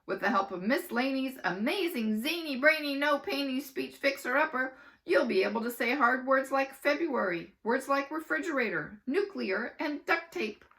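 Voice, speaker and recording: A woman's voice: high-pitched, animated, normal speed, a bit bright, pitched above the performer's own voice. A woman reading aloud, voicing a cheerful, zany female speech teacher with a chirpy sing-song delivery. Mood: chirpy sales pitch.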